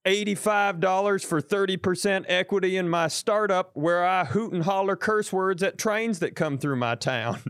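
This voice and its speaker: A man voicing a rowdy small-town male contestant who proudly announces his pitch. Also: moderate pace, few pauses, fairly bright tone, smooth, no room sound.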